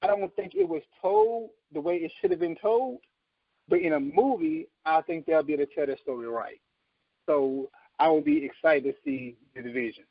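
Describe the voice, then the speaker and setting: A man with a creaky voice giving emphatic opinions in a casual panel chat. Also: fairly high pitch, sing-song, moderate pace, dry recording. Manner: emphatic, insistent, argues with examples. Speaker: podcast panelist. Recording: slightly dull, lo-fi audio.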